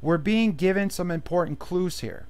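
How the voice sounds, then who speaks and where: A man's voice: high voice, moderate pace, clean tone. A man teaching a Bible study, reading verses aloud and then explaining them plainly in his own words. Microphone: voice-over on slideshow.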